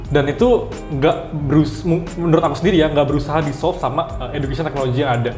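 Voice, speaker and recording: Male guest, twenties, quick informal talk sprinkled with English, echoey room, music underneath. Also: on the high side, darkish tone. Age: 20s. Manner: informal, mixes in English words. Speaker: guest, startup founder. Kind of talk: casual interview answers. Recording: roomy echo with music behind.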